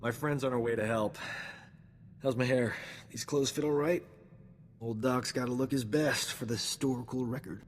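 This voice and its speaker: Actor as a cocky doctor in a cavernous space. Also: male voice, medium pitch, steady tone, normal speed, slight background noise, natural voice. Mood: boastful. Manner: cocky, self-admiring, smooth-talking. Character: vain, flirtatious male doctor, boastful charming rogue. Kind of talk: video game cutscene acting.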